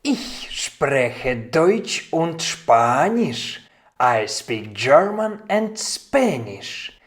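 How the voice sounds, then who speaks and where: Man teaching slowly, with very measured pacing. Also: a little high, very animated. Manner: deliberate, instructional. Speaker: language teacher. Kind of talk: scripted language lesson with repeated example phrases.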